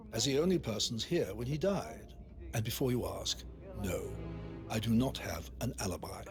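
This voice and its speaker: A man's voice: mid-range voice, natural voice. A man playing a formal, courteous butler of a grand manor in a video game, speaking precisely and with reserve. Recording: music behind the voice. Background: game music.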